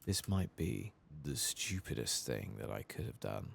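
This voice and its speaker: Man, very low voice, gravelly fry, soft-spoken ASMR gaming commentary, musing to himself. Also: big pitch swings, a little slow, a little hiss or hum. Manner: often drops into whispers. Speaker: host of a gaming playthrough channel.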